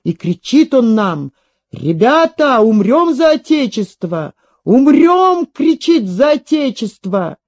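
Narrator doing old drunk soldier from a story, bragging, country talk, kinda mellow and loud. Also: medium pitch, lively intonation, measured pace. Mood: boastful, fervent. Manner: rustic colloquial speech, bragging, declamatory. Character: boastful old drunken veteran soldier, pathetic and defiant.

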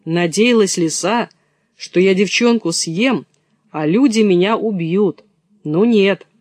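A man voicing a menacing, boastful old wolf in a gruff, self-satisfied manner. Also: high voice, takes it slowly, darkish tone, no room sound. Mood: smug, scheming.

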